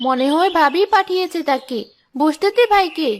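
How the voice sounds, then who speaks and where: Woman playing a very high-voiced gentle village mother. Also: normal speed, lightly acted. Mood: gentle, welcoming. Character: kind, polite village mother running a tea stall. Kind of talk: Bengali cartoon dub. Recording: music behind the voice.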